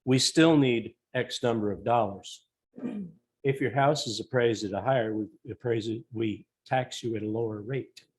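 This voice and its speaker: A man's voice: medium pitch, moderate pace, a little mellow, poor audio. A man on a town board who is losing his voice, speaking matter-of-factly as he corrects others at a town meeting.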